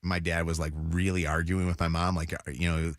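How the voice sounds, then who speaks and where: A man's voice: low-pitched, flat delivery, fairly quick, no room sound, clean studio sound. A man hosting a morning radio show, telling stories in a rambling way with lots of restarts. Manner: rambling storyteller, restarts a lot. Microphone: studio mic.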